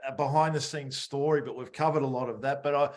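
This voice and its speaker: A man's voice: mid-range voice, steady tone, fast. A man hosting an interview in a casual, conversational style. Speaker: podcast interview host.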